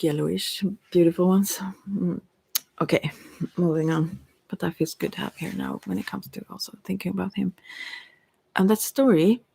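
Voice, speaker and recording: A woman's voice: sits low, vocal fry, darkish tone, slightly rough, no echo. A middle-aged woman, chatting informally in an ASMR style with a hesitant, meandering manner, close to the microphone. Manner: hesitant, meandering, giggly asides. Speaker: ASMR tarot reader. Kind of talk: rambling, informal ASMR chat.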